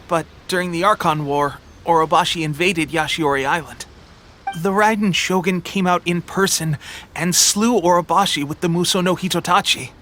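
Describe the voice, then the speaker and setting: An eager young male soldier, with a bright, forward voice on the high side. He is friendly and earnest, brave-faced though under the weather with a cold. Light game music plays behind him. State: coughing, unwell with a cold. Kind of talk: English anime game voice acting.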